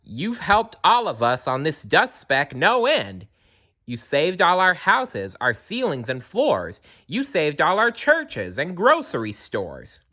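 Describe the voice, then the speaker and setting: Man doing a teeny high-voiced friendly mayor, chirpy and lively. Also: slow, full character voice. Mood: grateful. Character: tiny grateful male town mayor, friendly.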